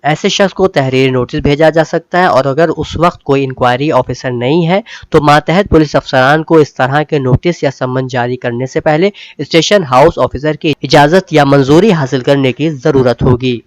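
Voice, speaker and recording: A man reading a scripted news bulletin as a news reader, in a formal, measured manner. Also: a little high, dry recording.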